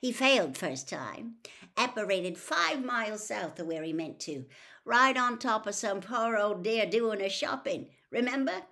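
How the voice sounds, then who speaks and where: A woman's voice: mid-range voice, expressive, measured pace, vocal fry, bright, forceful tone, pitched above the performer's own voice, heavily acted. A woman voicing a cheeky teenage boy from a storybook, grumbly and teasing in manner.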